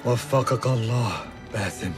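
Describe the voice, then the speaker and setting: An actor playing a wounded, principled assassin in a video game, earnest, solemn and strained. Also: male voice, mid-range voice, brisk, close to an everyday voice. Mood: solemn, pained. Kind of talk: dramatic video game cutscene acting. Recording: prominent music behind the voice.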